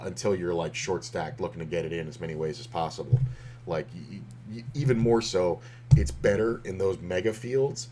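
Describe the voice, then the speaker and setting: Male streamer, deep and a bit hoarse, rambling casual poker commentary. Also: a little mellow, a little hiss or hum. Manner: rambling, loose, with many fillers and restarts. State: slightly hoarse.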